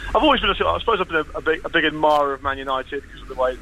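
A man's voice: a little high, racing, sharp tone, slight background noise, poor audio. A man chatting about football as a podcast guest, wry and self-deprecating, with frequent restarts. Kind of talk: casual podcast chat.

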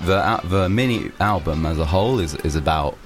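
A man's voice: sits low, expressive, fairly quick, over music. A young man, a musician, answering interview questions casually, rambling with many restarts and fillers. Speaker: musician guest.

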